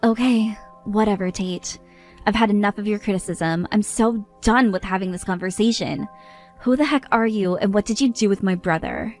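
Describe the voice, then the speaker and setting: Woman as indignant bride, scolding, firm, soft music behind. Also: mid-range voice, normal speed, natural voice. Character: exasperated young bride, stern and fed up.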